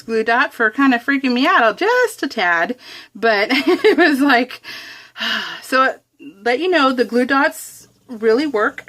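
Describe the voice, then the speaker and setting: Woman, slightly high voice, casual craft vlog, giggly, self-deprecating, rambling chatter. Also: fairly bright tone. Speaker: craft vlogger. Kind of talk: casual chatty craft tutorial, narrated in real time.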